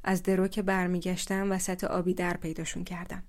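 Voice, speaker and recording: A woman's voice: fairly low pitch, level tone, lightly acted. A young village man with an engineer's education, talking in a playful, teasing way, as a woman narrator puts him on. His lines stay close to the narrator's own voice.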